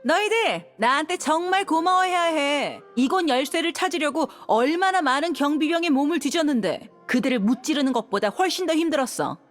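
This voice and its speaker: An actress playing a lively, confident young female rescuer in a game, with a playful delivery. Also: high-pitched, a little fast, hardly any pauses, soft background music. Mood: playful boasting. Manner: playful, animated. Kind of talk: animated game voice acting.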